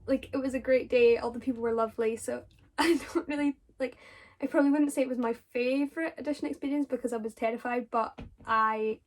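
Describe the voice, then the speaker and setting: A woman's voice: a little high, steady tone, a little fast. Chatty young Scottish woman. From Scotland. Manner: chatty, with frequent fillers and self-corrections. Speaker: drama student vlogger. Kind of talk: casual Q&A advice to viewers.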